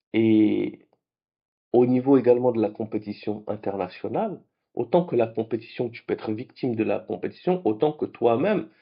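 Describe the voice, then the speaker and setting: A man whose first languages are French and Arabic, hosting a late-night trading vlog in a lively, conversational way. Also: lowish voice, creaky, husky, lo-fi sound. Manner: animated, conversational, with restarts. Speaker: trading channel host.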